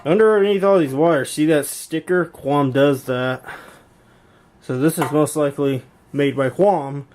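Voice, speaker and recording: A man with a somewhat high-pitched voice narrates a casual hobby demonstration. He rambles and tends to repeat himself. He speaks from behind a handheld camera in a room at home. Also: a little slow, darkish tone, a little hiss or hum. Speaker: YouTube hobbyist demonstrating intercom gear. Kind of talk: casual hands-on vlog narration.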